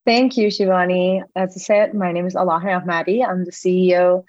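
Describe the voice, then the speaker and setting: A woman's voice: fairly low pitch, steady tone, moderate pace, a little mellow, no room sound. Iranian-born woman explaining, dull dry audio. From Iran. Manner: explanatory, with frequent fillers and self-corrections. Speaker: podcast guest, company CEO. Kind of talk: conversational interview answers. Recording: dull lo-fi sound.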